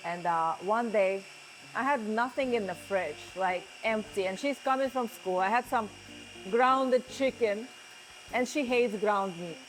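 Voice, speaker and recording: A woman's voice: medium pitch, a little slow, slight background noise, rough recording. A woman from Russia, hosting a cooking show as a chef, chatting casually, often laughing and telling stories as she cooks in a kitchen. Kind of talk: casual chat while cooking.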